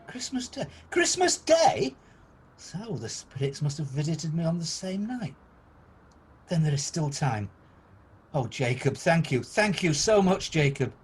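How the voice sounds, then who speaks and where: A man acts out an old miser turned generous, in a husky, fairly high voice that swings about. He is eager and exclamatory, flustered with excitement, in the manner of a homemade radio drama. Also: measured pace, exaggerated voice. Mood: excited, amazed. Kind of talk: amateur radio play acting.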